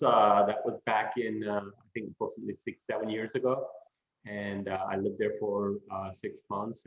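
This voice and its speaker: A middle-aged man from Turkey, a professor answering interview questions in an unhurried way, with many "you know" fillers. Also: on the low side. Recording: echoey room, dull lo-fi sound.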